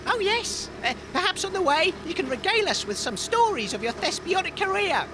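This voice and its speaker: Woman playing a very high-pitched, polite radio interviewer. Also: sing-song, brisk, background noise. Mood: eager, polite. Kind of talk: comedy audio drama.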